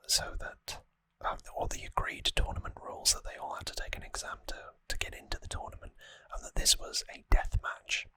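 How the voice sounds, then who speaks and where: Someone whispering asmr right up on the mic, breathy and crisp, super dry sound, just chatting through a story. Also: dry recording. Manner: hushed, close, conversational retelling. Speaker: ASMR host. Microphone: close, dry microphone.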